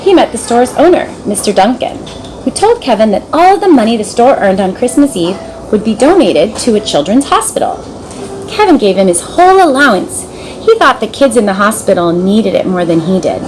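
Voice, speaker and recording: A woman narrating a children's storybook in an expressive, dramatic storytelling manner. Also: medium pitch, a little fast, a little hiss or hum, low-quality recording. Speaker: storybook reader.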